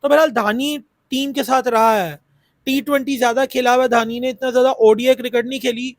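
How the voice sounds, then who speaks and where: A man's voice: extremely high pitch, fairly even intonation, brisk, no room sound, clean studio sound. A man with a sore throat, chatting about cricket in a casual, repetitive way with many fillers. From Pakistan. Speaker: cricket YouTuber. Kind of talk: casual cricket analysis talk.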